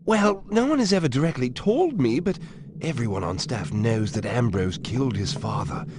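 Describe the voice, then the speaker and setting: Game role: actor as nervous manservant, mid-pitched, very animated, formal and confiding, clear background noise. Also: male voice, normal speed, lightly acted. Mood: confiding, grave. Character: nervous household servant, gossipy and deferential. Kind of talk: video game dialogue, storytelling.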